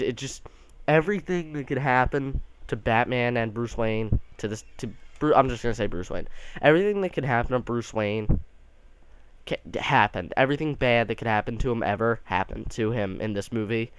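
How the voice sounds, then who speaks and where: A man's voice: on the low side, clear, resonant tone, dry recording. A young man giving a casual, rambling movie review, sounding a bit nasal from allergies, with many restarts.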